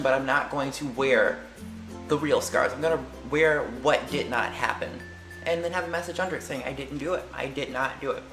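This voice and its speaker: Chatty teen girl, lower voice, echoey room. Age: late teens. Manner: chatty and lively, with slang and quick tangents. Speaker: vlogger. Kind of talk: casual chat answering tag questions.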